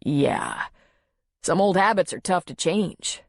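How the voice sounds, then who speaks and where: A calm, weary man, as a female narrator puts him on, speaks low and measured, trying to soothe. The recording is dry and close. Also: vocal fry, no room sound, exaggerated voice. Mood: gentle.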